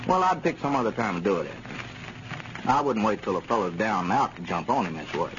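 An actor playing a reasonable, plain-spoken small-town peacemaker in a 1930s radio comedy. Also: male voice, lowish voice, sing-song, brisk. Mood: earnest, reasoning. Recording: scratchy, muffled 1930s radio recording.

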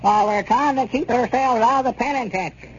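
An old radio recording, dull and a little noisy, carries a high-voiced rustic storekeeper. He talks in a folksy rural Southern drawl, excitable and plain, in the manner of 1930s comedy serials. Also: a little fast. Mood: worried.

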